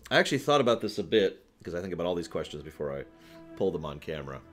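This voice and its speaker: A man's voice: deep voice, big pitch swings, very fast, music in the background. A man hosting a casual livestream about games, rambling and thinking aloud as he talks to his chat. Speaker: livestream host answering viewer questions.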